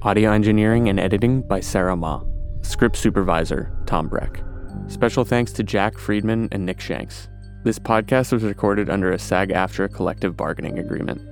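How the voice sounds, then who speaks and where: A man's voice: on the low side, normal speed. A man reading scripted podcast credits as an announcer. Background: music.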